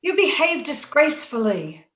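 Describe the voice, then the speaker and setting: A woman's voice: high-pitched, big pitch swings, a bit bright. An actress playing an anguished, jealous, haughty young married lady in an old-time radio drama, with a melodramatic delivery. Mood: scolding, haughty. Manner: melodramatic, halting when upset. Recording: roomy, slightly dull remote-call sound.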